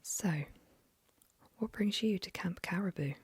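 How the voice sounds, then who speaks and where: A woman's voice: on the low side, a little slow, a little mellow, slightly rough, dry recording. A woman whispering the lines of a friendly young male rowing instructor in a story reading.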